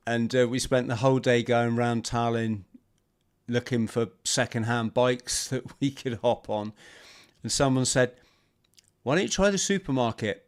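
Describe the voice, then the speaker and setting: A man hosting a podcast in relaxed conversation. His voice is smooth and clear, and he often trails off and starts his sentences again. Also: medium pitch, normal speed. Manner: hesitant, many restarts and fillers. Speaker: podcast host. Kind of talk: casual interview chat.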